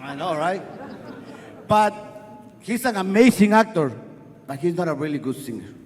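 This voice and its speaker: A middle-aged man with a Spanish accent and a notably high voice tells a personal story to a live audience. He is earnest and wry, pressing ahead quickly, then slowing down to let a line land. The hall around him rings with a long reverb. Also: lively intonation. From Guatemala. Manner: earnest, wry, speeds up and slows down for effect. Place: festival stage before an audience.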